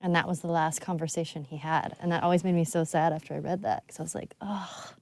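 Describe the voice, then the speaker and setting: A woman's voice: deep voice, fairly even intonation, quick pace, a little mellow. A woman, an actress from the game, chatting casually in a commentary track.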